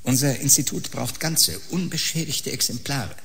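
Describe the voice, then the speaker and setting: A man's voice: mid-range voice, moderate pace, vocal fry, a bit bright. An actor playing an eager, ruthless male researcher in an audio drama, insistent and cold-blooded. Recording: echoey space, faint ambient noise.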